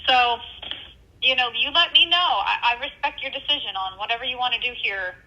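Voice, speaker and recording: Gentle, hesitant woman on a dull phone line. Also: a little high, fairly quick. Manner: gentle, hesitant, many fillers. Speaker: helpline worker. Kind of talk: phone support call.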